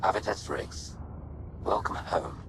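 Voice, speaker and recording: A man voicing a damaged male ship computer that speaks calmly and formally, in a game. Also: low-pitched, takes it slowly, background noise. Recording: game music behind.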